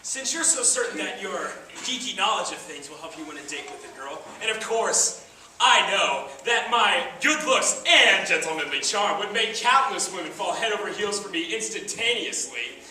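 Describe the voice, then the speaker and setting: Man playing a cocky bachelor, very lively delivery. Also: sits high, moderate pace, heavily acted. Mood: challenging, cocky. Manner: smug, smooth-talking, showy. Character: cocky, teasing bachelor who fancies himself a charming gentleman. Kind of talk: live amateur stage comedy acting. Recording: echoey theater from far off, noisy.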